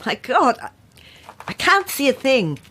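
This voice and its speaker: A sceptical woman novelist in a naturalistic audio drama. She speaks slowly and guardedly, her pitch swinging widely when rattled, over faint background noise. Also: wildly swooping pitch, pushed and bright, exaggerated voice. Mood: flustered, alarmed. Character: sceptical female horror novelist, guarded, polite, easily rattled.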